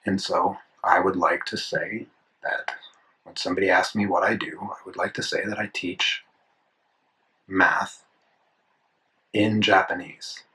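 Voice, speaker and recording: A man's voice: low-pitched, sharp tone, rough recording. A man in his twenties talking casually while he draws, rambling and correcting himself as he thinks aloud. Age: 20s. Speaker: art channel host. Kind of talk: casual talk while drawing. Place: indoors at a desk, drawing in a sketchbook.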